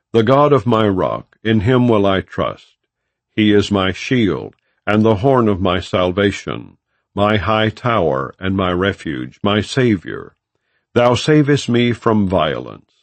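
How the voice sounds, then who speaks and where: Man, deepish voice, slow pace, formal solemn scripture reading, measured narration. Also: dry recording. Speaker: audiobook narrator.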